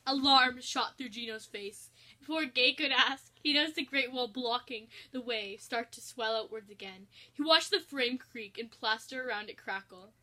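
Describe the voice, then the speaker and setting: A woman narrates a comic fan story in a fairly high, very bright voice. She reads as a storyteller, voicing the prose aloud. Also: moderate pace.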